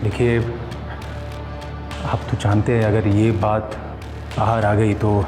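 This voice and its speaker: A man's voice: lowish voice, flat delivery, takes it slowly. An actor playing a cautious, persuasive political party man in a TV crime serial, hesitant and placating. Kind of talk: Hindi TV crime serial acting. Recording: echoey room, loud background music.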